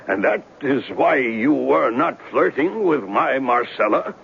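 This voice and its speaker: A man's voice: medium pitch, lively intonation, unhurried, gravelly. An actor playing a pompous, jealous fat man in a 1970s radio drama, speaking in grandiose, blustering phrases. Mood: passionate. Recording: muffled, hissy 1970s radio broadcast recording.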